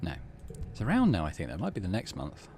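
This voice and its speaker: Man with very animated pitch, musing game commentary. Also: fast, creaky, a little hiss or hum. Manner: musing, thinking aloud. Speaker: gaming channel host. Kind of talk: casual game commentary and narrated text reading.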